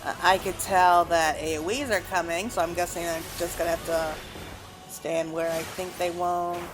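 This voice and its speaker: A woman's voice: lowish voice, fairly even intonation, normal speed, poor audio. A woman giving casual gameplay commentary, rambling and thinking aloud as she plays. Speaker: gamer, let's play host. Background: game music.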